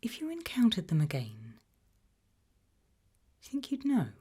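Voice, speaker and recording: A British woman playing a calm, probing investigator in an ASMR roleplay, asking gentle, measured questions in a breathy whisper. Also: a little high, full character voice. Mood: calm, curious. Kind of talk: ASMR roleplay, whispered and soft-spoken interview.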